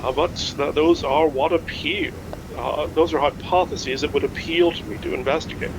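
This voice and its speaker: A man playing a learned, hesitant history scholar in a naturalistic fiction podcast, speaking in a halting, pedantic way. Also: on the high side, fairly even intonation, noisy background, natural voice. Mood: musing.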